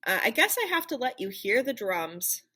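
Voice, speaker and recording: Lady playing a put-upon storybook band teacher, husky, swoops around, scolding and coaxing kids. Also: on the high side, very animated, moderate pace, bright, forceful tone, full character voice. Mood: exasperated. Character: exasperated band teacher leading young pupils.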